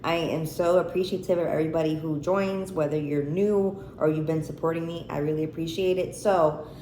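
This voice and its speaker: A woman's voice: low-pitched, steady tone, brisk, mellow. An American woman hosting a cooking vlog, chatting casually and informally to camera with plenty of swearing. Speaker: cooking and chat vlog host. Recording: echoey room, faint background noise.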